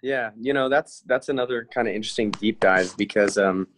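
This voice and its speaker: A man's voice: on the low side, a little fast, slight background noise. A man in his mid-twenties from Hawaii with an American accent, explaining things earnestly in casual, slangy interview talk. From Hawaii, Big Island, United States. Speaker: podcast guest, fitness and bodywork practitioner.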